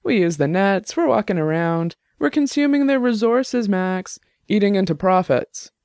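A man putting on a loud, combative tipsy woman. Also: medium pitch, expressive, normal speed, clear, resonant tone, no echo, studio quality, voice pushed up, lightly acted. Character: argumentative tipsy young woman musician.

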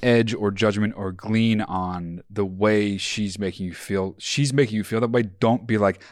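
A man co-hosting a podcast, giving blunt, profane advice in a slow, deliberate way. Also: on the low side, steady tone, dry recording, studio quality. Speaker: podcast co-host.

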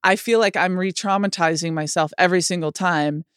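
A woman with a lower voice than most women, speaking candidly in a conversation with many likes and restarts. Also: fairly quick, smooth, no room sound, clean studio sound. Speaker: guest sharing her experience.

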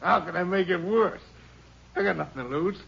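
An actor playing a bitter, defiant killer and crook in a 1950s radio western crime drama, talking tough and menacing. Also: male voice, high voice, moderate pace, creaky, breathy edge, full character voice. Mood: menacing, cornered. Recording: noisy, muffled old radio recording.

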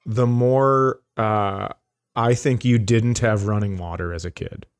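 A man's voice: fairly low pitch, measured pace. A man co-hosting a comedy podcast, bantering casually with dry, teasing remarks. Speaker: podcast co-host.

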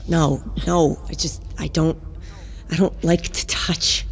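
An actress playing a wounded, guarded woman in an audio drama, speaking haltingly and hesitantly with small stammers. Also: deep voice, little pitch movement, creaky, husky, exaggerated voice. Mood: reluctant, uneasy. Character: guarded, anxious, wounded woman resisting confession. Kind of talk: naturalistic audio drama acting.

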